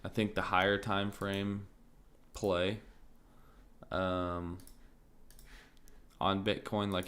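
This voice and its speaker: A man with a deep, low voice hosts a casual trading stream, thinking out loud with plenty of fillers. He speaks in a slow, easygoing rhythm. His voice carries a slight rasp, and he clears his throat now and then. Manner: relaxed, thinking aloud, many fillers like "like" and "um". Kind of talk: casual live-stream market commentary.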